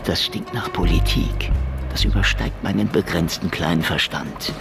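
A man playing a shabby, cynical private detective in a radio drama, gruff and sardonic, with a mock-dim streak. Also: deep voice, moderate pace. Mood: wry, wary. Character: shabby, wisecracking, cynical hard-boiled male private detective. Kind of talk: hard-boiled radio drama acting. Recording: music behind the voice.

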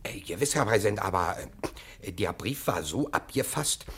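Servile police official, low animated voice. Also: male voice, normal speed, slightly rough, heavily acted. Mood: deferential, officious. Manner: deferential, stammering, officious. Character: servile, zealous male police assessor, eager to please. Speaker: actor. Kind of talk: German radio play acting, satirical comedy.

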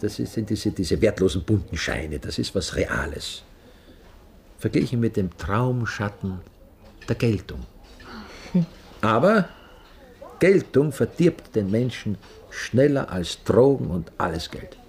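An actor playing a vain, pompous star of the theatre in a radio drama, declaiming grandly with an Austrian accent. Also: male voice, lowish voice, darkish tone. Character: pompous, self-adoring male star actor of Vienna theatre.

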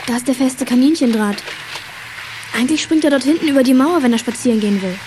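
Schoolgirl detective played by a woman, high voice, earnest and anxious, children's audio drama. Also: fast talker, noisy background, natural voice. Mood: worried. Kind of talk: German children's radio play acting.

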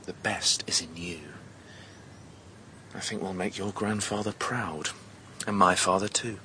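A brooding young Englishman in a radio play, low and sharp-edged in tone. He speaks gravely and intimately in clipped phrases, with quiet, hardened resolve, over faint background noise. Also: fairly even intonation, a little slow, full character voice. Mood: quietly resolute. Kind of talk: radio drama acting.

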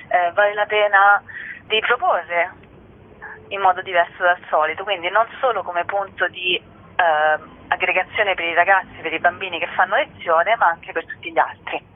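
Female radio guest, middle-aged, chatty and emphatic, tinny phone audio. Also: lowish voice. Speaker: interview guest, festival organizer. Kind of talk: casual phone interview. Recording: thin phone line on radio.

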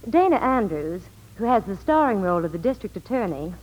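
A woman's voice: mid-range voice, sing-song, brisk, darkish tone, rough recording. A woman giving scripted Hollywood reports and sponsor talk in 1940s radio style. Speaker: Hollywood reporter and soap pitchwoman.

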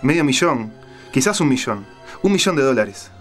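A man's voice: medium pitch, very animated, moderate pace, faint music behind, lightly acted. A man playing a scared captive journalist in an audio drama, hesitant and careful, trying to placate. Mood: frightened. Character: frightened captive male journalist, placating and pleading.

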